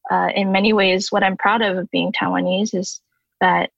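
Soft-spoken young woman, flat delivery. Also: mid-range voice, moderate pace, creaky, dry recording. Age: 20s. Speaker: podcast guest. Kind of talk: reciting her own poem, then casual interview talk. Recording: dull lo-fi dry sound.

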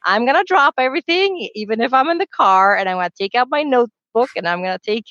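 A woman's voice: on the high side, animated, fast, a bit bright, dull audio. A talkative, self-assured woman speaking emphatically as a guest in a conversational panel discussion. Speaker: court and conference interpreter, guest.

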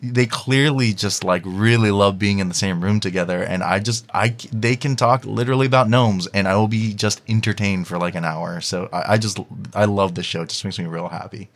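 Casting: male podcast guest, lowish pitch, informal and rambling, conversational filler throughout. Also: fairly quick, few pauses. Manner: rambling, peppered with "like". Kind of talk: casual chat.

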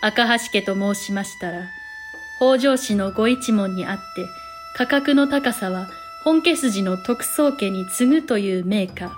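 A woman's voice: medium pitch, a little slow, faint music behind, natural voice. A woman playing a composed storyteller of a samurai chronicle, narrating in polite, formal, old-fashioned phrasing. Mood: stately composure. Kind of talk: scripted period drama narration.

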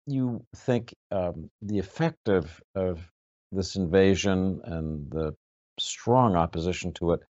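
Low-voiced, deliberate male interviewer. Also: a little mellow. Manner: deliberate, hesitant phrasing. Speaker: interviewer, moderator. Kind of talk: measured, formal questioning. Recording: dull, lo-fi audio.

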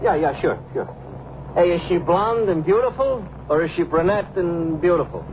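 A man's voice: high voice, expressive, moderate pace, darkish tone. Slangy 50s radio sidekick guy, brash wisecracks, muffled noisy old recording. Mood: wisecracking. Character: brash, wisecracking streetwise male driver sidekick. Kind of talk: 1950s radio drama acting. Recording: noisy, band-limited old radio transcription.

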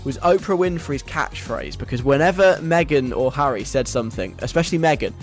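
A British man hosts a radio show with a chatty, conversational delivery. His pitch is middling and his manner is wry and self-deprecating. Light music sits behind him. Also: animated, a little fast. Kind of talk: casual chatty radio presenting.

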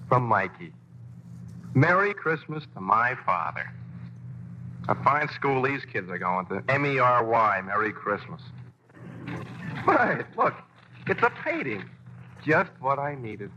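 An actor playing a jovial, impatient, warm-hearted father in a 1940s radio drama. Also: mid-range voice, big pitch swings, slow, pauses often, muffled recording, full character voice. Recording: faintly noisy old radio recording.

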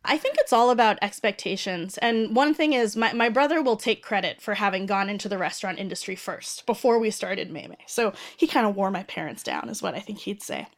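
A woman from the Boston area talks casually as a restaurant owner. Her voice sits at an ordinary middle pitch. She explains things in an upbeat way and often pauses on an um. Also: animated. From Boston area, United States. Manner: explanatory, upbeat, with many filler ums. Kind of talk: casual conversation and cooking demo.

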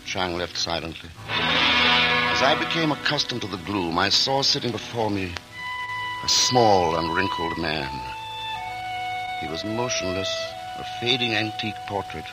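A man's voice: fairly low pitch, poor audio. A man narrating and acting the lead in a 1940s radio drama, earnest and polished. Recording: old radio broadcast with music.

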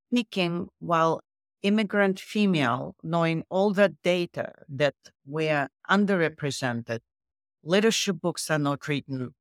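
Middle-aged woman, low voice, foreign accent, emphatic interview guest, dry sound. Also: no echo. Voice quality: low voice with a non-native accent. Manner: emphatic, leans on facts and figures. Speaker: guest author. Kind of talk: interview conversation.

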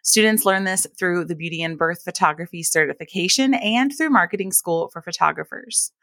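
A woman's voice: on the low side, fairly quick, fairly bright tone, no room sound, clean studio sound. Chatty woman podcaster, allergy-scratchy voice. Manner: chatty, friendly, uses y'all and like. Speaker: podcast host. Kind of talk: casual solo podcast.

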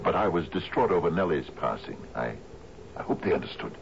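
An actor playing a troubled, grieving sea captain in a 1970s radio drama, speaking formally and haltingly. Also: male voice, low voice, sing-song, full character voice. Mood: regretful. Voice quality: gravelly. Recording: muffled, noisy old radio broadcast.

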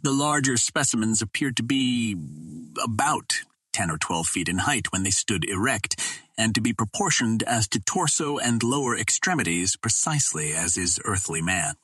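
A man reads an adventure novel in a fairly low voice with a husky grain. His delivery is measured and formal, that of a storyteller working from a script. The sound is close and dry, with very little room around it. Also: animated, pushed and bright, no room sound. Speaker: audiobook narrator.

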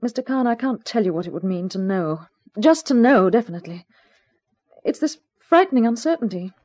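A woman's voice: on the high side, lively intonation, a little fast, a little mellow. An actress playing an anxious, well-bred Englishwoman in a 1950s radio mystery, speaking politely and earnestly with some hesitancy. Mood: desperate. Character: anxious, well-bred Englishwoman, glamorous client seeking help.

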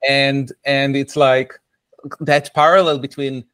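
A man's voice: medium pitch, little pitch movement, slow pace, a bit bright, smooth, no echo. A middle-aged man from Israel with an Israeli accent, answering conversationally as a podcast guest in a reflective, explanatory way. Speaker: podcast guest, product coach.

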